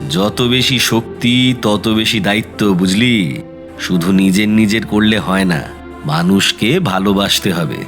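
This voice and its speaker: An actor playing a kindly, easygoing middle-aged neighbourhood bachelor in an audio drama, speaking colloquially with breezy earnestness. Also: male voice, fairly low pitch, measured pace. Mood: earnest. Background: background music.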